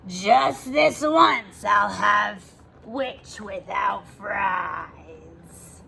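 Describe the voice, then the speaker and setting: A woman voicing a mean, hungry, boastful storybook dragon with a loud, drawn-out, menacing delivery. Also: medium pitch, creaky, slight background noise, exaggerated voice.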